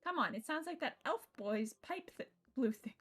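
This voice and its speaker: A woman's voice: high voice, lively intonation, moderate pace, fairly bright tone, husky. A woman of twenty-one streaming a visual novel, chatting casually with her viewers and laughing often, with some swearing. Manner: giggly, playful, swears, chats with viewers.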